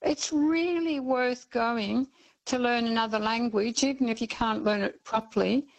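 A woman's voice: a little high, measured pace, poor audio. An older Australian woman calling in to a radio talk show, speaking earnestly with frequent ums. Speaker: caller. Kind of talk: radio call-in chat.

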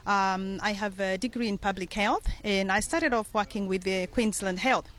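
Middle-aged Kenyan woman, community leader guest, earnest and measured, pauses often. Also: mid-range voice, steady tone, a bit bright. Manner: earnest, measured, with frequent ums and uhs. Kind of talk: radio interview.

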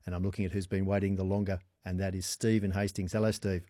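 A man's voice: low voice, little pitch movement, fast, warm tone, a little hiss or hum. An Australian man hosting a radio quiz, chatting and joking with callers on air. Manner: jokey, teasing, laughs often. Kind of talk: casual on-air chat with callers.

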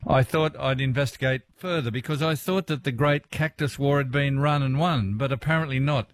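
A man's voice: mid-range voice, normal speed, slight background noise. A man with an Australian accent, presenting and interviewing as a radio host.

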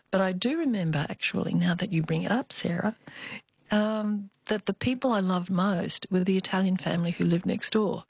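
An older woman with an Australian accent, telling personal memories as an interview guest in a thoughtful, expressive way. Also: mid-range voice, gravelly, no room sound. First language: Cantonese. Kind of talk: reflective personal storytelling.

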